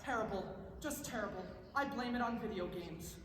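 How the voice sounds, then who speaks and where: A woman's voice: medium pitch, normal speed, lightly acted. A woman playing an easily amazed infomercial co-host in a stage comedy, with exaggerated scripted wonder. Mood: mock amazement. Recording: distant, echoey hall, noisy.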